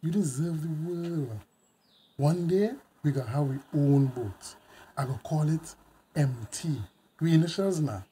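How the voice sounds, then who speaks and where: An actor playing a devoted, hot-tempered young boyfriend in a radio drama, speaking colloquial Creole with a Caribbean accent. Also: male voice, a little high, measured pace, dark tone, a little hiss or hum, natural voice. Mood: tender. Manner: colloquial Creole, confrontational when crossed.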